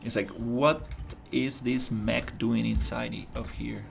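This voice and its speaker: Gravelly man thinking aloud. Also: mid-range voice, little pitch movement, takes it slowly, faint music behind. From Costa Rica. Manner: thinking aloud, loose and rambling with many restarts. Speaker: indie game developer streaming his work. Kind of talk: casual livestream commentary while working.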